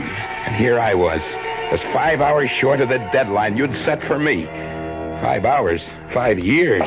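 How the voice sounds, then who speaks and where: A frightened, haunted man telling his own story in a tense, confiding way. His voice sits low, on a dull old radio drama recording with music playing behind it. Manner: tense first-person confiding narration.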